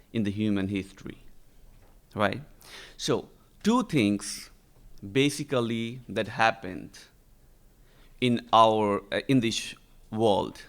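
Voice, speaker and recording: A man from Nepal giving a conference lecture in English, speaking slowly and deliberately with frequent fillers and tag questions. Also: fairly low pitch, a bit bright. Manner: slow and deliberate, frequent "uh" and "right?". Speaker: researcher giving a talk. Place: conference hall.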